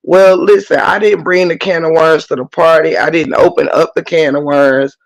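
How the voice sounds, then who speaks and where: Hoarse guy on his livestream, kinda high voice, stuffy nose, cussing and repeating himself, reading chat. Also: steady tone, moderate pace, rough recording. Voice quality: profane, emphatic ranting with repetition. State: hoarse, losing voice; congested. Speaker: livestream host.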